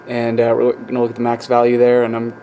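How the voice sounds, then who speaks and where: A man giving a casual, hands-on demonstration as a hobbyist video host, talking haltingly as he thinks aloud at an indoor workbench. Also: mid-range voice, completely flat delivery, mellow. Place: indoor workbench with electronics gear. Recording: clearly noisy room ambience.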